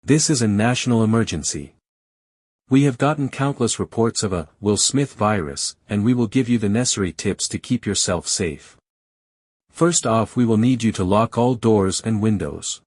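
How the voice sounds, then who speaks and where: A man with a husky voice reading a scripted emergency broadcast in a serious, measured manner. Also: on the low side, darkish tone, no echo, studio quality. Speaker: emergency announcer.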